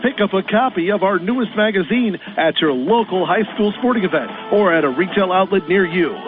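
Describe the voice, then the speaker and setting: Peppy high-voiced ad announcer over music. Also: male voice, brisk. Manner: peppy, promotional. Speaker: radio ad announcer. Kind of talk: upbeat ad read. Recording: phone-like radio ad over music.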